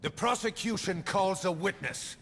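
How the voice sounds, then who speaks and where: A male actor playing a bitter, severe military prosecutor in a video game cutscene, accusing gravely and theatrically in a gravelly voice. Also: sits high, normal speed, long reverb tail, very noisy. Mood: grave accusation.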